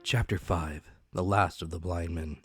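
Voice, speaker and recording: Deep-voiced man reading dramatically. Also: fairly even intonation, a little slow, soft background music. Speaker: audiobook narrator. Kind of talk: dramatic scripted storytelling.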